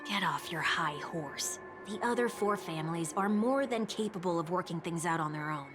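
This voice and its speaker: A woman's voice: lowish voice, animated, normal speed, natural voice. An actress playing a shrewd, blunt and skeptical woman in a video game, pointed and wry in her delivery. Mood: exasperated. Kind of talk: scripted video game voice acting. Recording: music behind the voice.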